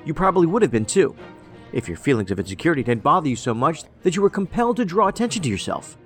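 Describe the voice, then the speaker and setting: A man's voice: fairly high pitch, sing-song, fast talker, soft background music. A man playing a quirky, tactless federal agent in an audio drama, talking in a rambling, pedantic, matter-of-fact way. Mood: blunt, analytical.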